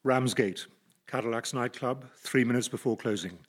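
A man playing a formal, deadpan radio announcer narrating scripted comedy. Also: mid-range voice, normal speed, slightly rough, close to an everyday voice.

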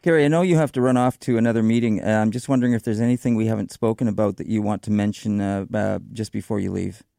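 A man hosting a radio talk show, interviewing a guest in a measured way with some fillers. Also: lowish voice, fairly even intonation, gravelly, mellow, no echo, studio quality. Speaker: radio host. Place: radio studio.

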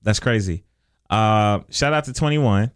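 A man's voice: lowish voice, measured pace, clean tone. A man from Illinois co-hosting a podcast, chatting casually in loose slang with frequent restarts. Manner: laid-back slang, frequent restarts and laughter. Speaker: podcast co-host. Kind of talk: casual chat.

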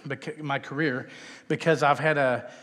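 A man's voice: mid-range voice, fairly even intonation, moderate pace, a bit bright. Texan man speaking in an echoey hall. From Texas, United States. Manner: casual, self-deprecating, conversational. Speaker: guest speaker at a chapel, filmmaker. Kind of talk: informal chapel talk, personal testimony. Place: large indoor chapel, live talk. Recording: echoey hall with long reverb.

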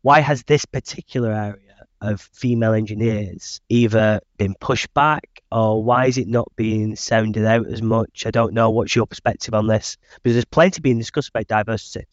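A man's voice: on the low side, fairly even intonation, moderate pace, no room sound. A man hosting a webinar interview over a video call, with meandering phrasing and a habit of saying "I suppose". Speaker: host and recruitment director. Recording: dull, dry lo-fi call audio.

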